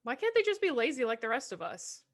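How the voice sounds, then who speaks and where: A woman's voice: high-pitched, sing-song, quick pace. A young woman co-hosting a casual podcast, laid-back and teasing, swearing casually. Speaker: podcast co-host. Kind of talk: casual chat.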